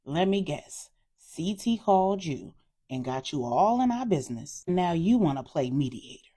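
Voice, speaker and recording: Woman voicing sassy, combative sister Stephanie, husky. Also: lowish voice, expressive, moderate pace, mellow. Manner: sassy, blunt, colloquial. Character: feisty, combative grown younger sister, Stephanie.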